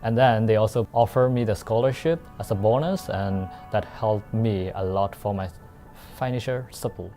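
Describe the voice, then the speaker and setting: A man's voice: on the low side, normal speed, dark tone. A young man with a Burmese accent, a master's student giving a promotional testimonial. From Myanmar. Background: background music.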